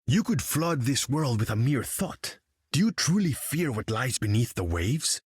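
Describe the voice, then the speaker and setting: A man's voice: medium pitch, sing-song, unhurried, breathy edge, dry recording. An actor playing a proud, imperious undersea king in a video game, speaking in a pompous, formal way. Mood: menacing, haughty. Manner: pompous, grandiose, formal speech. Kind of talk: video game character dialogue.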